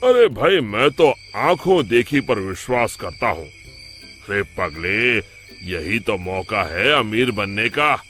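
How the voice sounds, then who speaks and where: Man playing a blustering greedy shopkeeper, animated pitch. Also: heavily acted. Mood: scornful, insistent. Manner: blustering, drawn-out exclamations, scolding. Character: greedy, bossy, dishonest male village shopkeeper.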